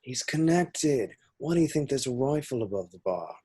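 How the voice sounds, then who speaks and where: A man's voice: mid-range voice, expressive, moderate pace, a little mellow, breathy edge. A man playing a crude, cheerful young slacker in an amateur comedy table read, with sweary, matey banter. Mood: mischievous, boastful. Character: crude, cheerful, loyal young male slacker best mate. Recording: home-recorded remote read.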